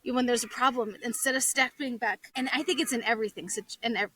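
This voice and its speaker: A bright, somewhat high-voiced woman from Hawaii talks in a loose, chatty way, laughing often and restarting her sentences. She is speaking outdoors, a little away from the mic, so she sounds somewhat distant. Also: fairly even intonation, quick pace, no echo. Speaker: guest, business owner. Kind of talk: casual interview over video call. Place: outdoors at a beach.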